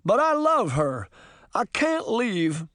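A man voicing a big, soft-hearted, bashful pig-man in love, for a storybook reading. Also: high voice, huge pitch swings, normal speed, dry recording, studio quality, pitched above the performer's own voice, heavily acted. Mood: joyful, tender.

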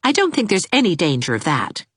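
A woman voicing a cool, sensible young woman teacher who speaks in a brisk, matter-of-fact way. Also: fairly low pitch, wildly swooping pitch, a bit bright, slightly rough, no echo, clean studio sound, voice pushed up, exaggerated voice. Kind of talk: audiobook character voice.

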